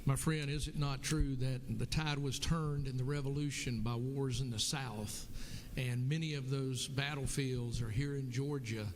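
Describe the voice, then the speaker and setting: Man, legislator asking a floor question, earnest, mid pitch, long reverb. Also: steady tone, a little slow, hardly any pauses, a little hiss or hum. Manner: earnest, appreciative, lists points.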